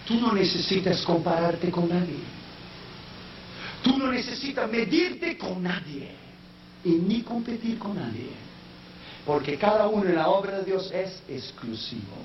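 A man preaching a sermon in a language that is not his first, slipping in English phrases and rising into shouted, sung-out peaks. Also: high-pitched. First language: not Spanish. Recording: echoey, distant, dull sound with faint noise.

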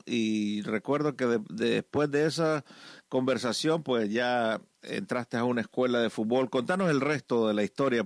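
A middle-aged man speaking as a pastor hosting a radio program, measured and instructive, like he is gently giving advice. His voice sits at an ordinary pitch and sounds close and dry, with no room around it. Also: no echo. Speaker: radio host, pastor and family counselor. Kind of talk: radio interview and family advice talk.

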